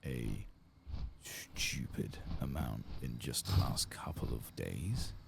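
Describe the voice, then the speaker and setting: A man giving hushed, soft-spoken ASMR commentary as he plays through a game, at times close to a whisper. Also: a bass voice, takes it slowly. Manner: hushed, sometimes slipping into whisper. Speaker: streamer hosting a game playthrough. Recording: clear background noise and game ambience.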